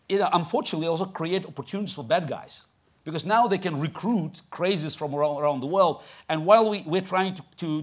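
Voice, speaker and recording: Russian-accented male guest, 50s, halting speech with many fillers, theatre stage. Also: on the high side. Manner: halting, with many restarts and "you know" fillers. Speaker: guest speaker. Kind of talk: onstage conversation before an audience. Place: large theatre stage, indoors.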